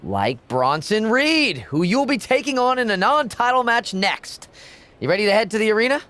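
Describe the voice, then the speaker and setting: A man's voice: sits high, very animated, moderate pace, pushed and bright, slight background noise, exaggerated voice. A man playing a smarmy, sarcastic wrestling management lackey in a video game, with smug theatrical delivery. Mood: mocking. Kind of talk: scripted video game cutscene acting.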